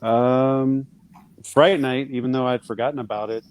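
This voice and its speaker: A man's voice: medium pitch, fairly even intonation, normal speed, darkish tone, clean tone, low-quality recording. A man chatting on a podcast panel, speaking hesitantly and reflectively. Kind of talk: casual chat.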